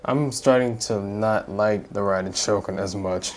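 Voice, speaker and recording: A man's voice: fairly low pitch, a little slow, soft background music. Casual young man doing let's-play commentary. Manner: informal, slangy reactions. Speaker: gaming YouTuber.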